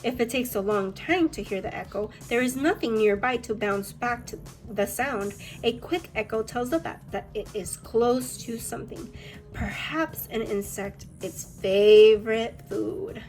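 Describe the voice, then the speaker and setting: Lady teacher doing a kids read-aloud, highish voice, encouraging, bit of music behind her. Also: unhurried, a little mellow, low-quality recording. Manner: encouraging, addressing young pupils. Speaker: elementary school teacher. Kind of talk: children's read-aloud lesson.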